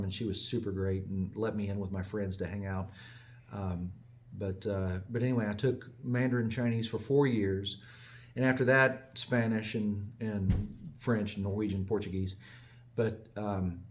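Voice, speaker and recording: A man from the United States, a small business owner, giving a casual, digressive update that often corrects itself and chuckles along the way. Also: fairly low pitch. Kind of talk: casual rambling monologue.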